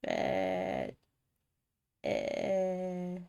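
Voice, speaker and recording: A woman's voice: on the low side, huge pitch swings, extremely slow, creaky, dark tone, clean tone. A woman hosting a game livestream, chatting casually and rambling as she thinks aloud. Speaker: game streamer, host.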